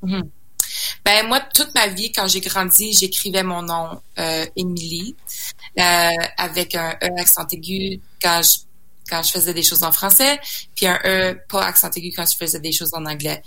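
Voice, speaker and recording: A woman's voice: fairly low pitch, steady tone, moderate pace, sharp tone. A young woman from Saskatchewan, a singer guest in a casual interview, talking hesitantly with many fillers and restarts and slipping in English words. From Saskatchewan, Canada.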